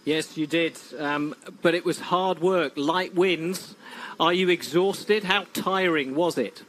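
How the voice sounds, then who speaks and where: A male sports commentator presenting a sailing broadcast in a brisk, chatty manner. His voice sits a little high, with faint noise in the background. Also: a bit bright. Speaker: race commentator and interviewer.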